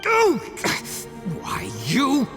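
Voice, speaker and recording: A man's voice: extremely high pitch, wildly swooping pitch, very slow, heavily acted. An actor voicing a brash, blustering, easily offended young man in an anime-style game. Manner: blustering, exclamatory, slangy. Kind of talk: exaggerated anime game voice acting. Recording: long reverb, faint music.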